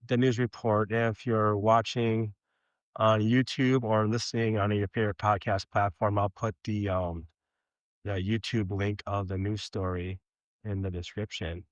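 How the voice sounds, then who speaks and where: A man's voice: on the low side, fairly even intonation, measured pace, dry recording. A man, hosting a podcast interview with friendly, conversational questions. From Minnesota. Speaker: podcast host.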